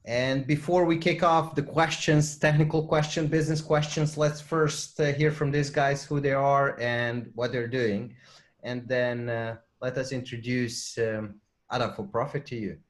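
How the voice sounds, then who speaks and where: A man with a mid-pitched voice hosts an online panel interview. He draws out his words and pauses on "uh" as he introduces the guests. His tone is conversational. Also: steady tone.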